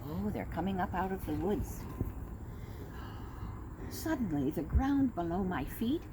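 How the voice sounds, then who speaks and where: A middle-aged woman, a teacher, reading a children's storybook aloud at home with a gentle, expressive delivery, slowing down for young listeners. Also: lowish voice. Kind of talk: storybook read-aloud for kids. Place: at home with her dog nearby. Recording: home recording with clear background noise.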